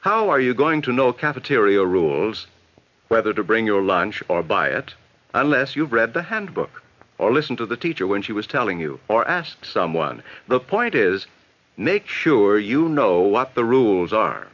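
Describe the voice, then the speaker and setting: American guy doing 60s educational film narration, measured advice voice, pitch moves around a lot, dull old audio. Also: very animated, a bit bright. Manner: instructive, measured, advising. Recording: dull vintage 1960s film soundtrack.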